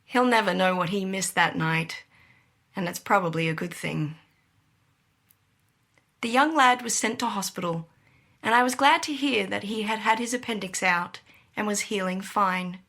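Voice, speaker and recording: A woman acting a young, gutsy pilot who narrates her ordeal in an intense, breathy, suspenseful audio drama style. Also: medium pitch, normal speed, pauses often. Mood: subdued, reflective. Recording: loud vehicle-like engine noise behind.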